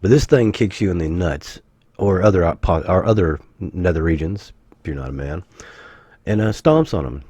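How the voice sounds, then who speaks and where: A man, husky from the flu, rambling casually as a gaming channel host with self-corrections and joking asides. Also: sits low, normal speed, warm tone, dry recording. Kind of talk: casual anniversary vlog talk.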